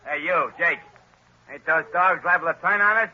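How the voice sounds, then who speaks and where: A man's voice: a little high, moderate pace, lightly acted. An actor playing a dim, drawling male thug in a 1940s radio drama. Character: slow-witted male thug Slim. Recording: dull lo-fi old radio recording.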